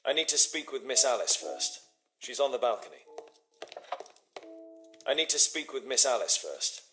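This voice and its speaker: An actor playing a shrewd, self-assured Victorian detective with a British accent, clipped and commanding. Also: male voice, medium pitch, big pitch swings, moderate pace, fairly bright tone, faint music behind. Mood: resolute. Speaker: lead detective character. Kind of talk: dramatic game voice acting, thinking aloud.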